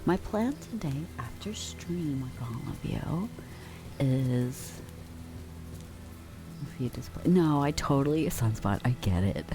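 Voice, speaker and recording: A young adult woman streamer chatting softly with her viewers, drifting in and out of whispers, relaxed and affectionate. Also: a bass voice, slow pace, music in the background. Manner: relaxed, affectionate, reads and answers chat; drifts between soft talk and whispers. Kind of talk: soft-spoken ASMR chat with viewers.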